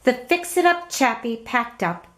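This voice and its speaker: A woman reading a children's story aloud in an expressive, dramatic and emphatic narrating style. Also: a little high, unhurried. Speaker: storybook reader.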